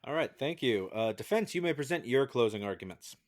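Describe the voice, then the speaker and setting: A man's voice: mid-range voice, animated, fairly quick. A man presiding as judge over a comic podcast trial, speaking in a dryly official, hesitant way. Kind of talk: semi-formal comic hosting.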